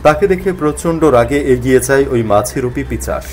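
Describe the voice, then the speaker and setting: A man tells a ghost story as scripted, dramatic narration. His mid-range voice moves in very lively contours. There is faint noise in the background. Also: mid-range voice, moderate pace.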